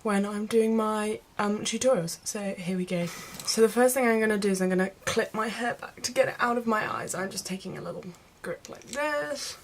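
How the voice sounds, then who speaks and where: A woman's voice: mid-range voice, moderate pace, few pauses, a little hiss or hum. A woman with a mid-range voice talks in the casual style of a beauty vlogger. She explains each step informally, addressing viewers directly as in a home-style tutorial chat.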